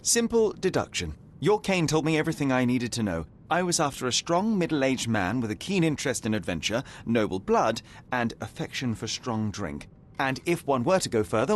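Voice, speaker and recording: A man's voice: mid-range voice, a little hiss or hum, close to an everyday voice. Sherlock Holmes, a man playing a cool young detective. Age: young adult. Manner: dry, precise, dismissive. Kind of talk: video game cutscene voice acting.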